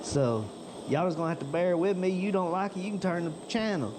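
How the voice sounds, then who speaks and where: Man, slightly high voice, folksy workshop chatter, faint background noise. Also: brisk. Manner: folksy and chatty, talks to viewers as "y'all". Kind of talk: casual workshop narration.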